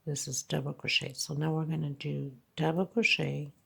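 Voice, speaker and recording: A woman's voice: low voice, level tone, normal speed, vocal fry, pushed and bright, breathy edge. A congested woman teaching a crochet tutorial, counting stitches aloud and checking in with frequent okays. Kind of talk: hands-on tutorial narration.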